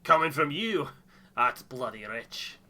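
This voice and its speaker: A man's voice: medium pitch, lively intonation, slow pace, sharp tone, a little hiss or hum, heavily acted. A man voicing a blunt, teasing game crew member with a taunting manner. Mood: teasing, mocking. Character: brash, teasing, sharp-tongued expedition crew member.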